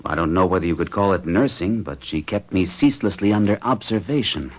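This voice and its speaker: A middle-aged bachelor, bewitched and baffled, in old radio drama style. The voice is husky and very animated, moving through dramatic swells and hesitations as he confides. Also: low-pitched, brisk. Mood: uneasy, fascinated. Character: forty-one-year-old orderly bachelor, bewitched and bewildered. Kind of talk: old-time radio drama acting, confessional storytelling.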